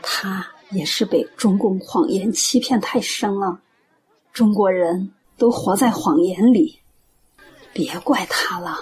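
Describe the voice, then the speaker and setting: A woman's voice: mid-range voice, slow pace, a little mellow, husky. A woman acting in a radio play as a devout, forgiving and kindly believer. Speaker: radio drama actor.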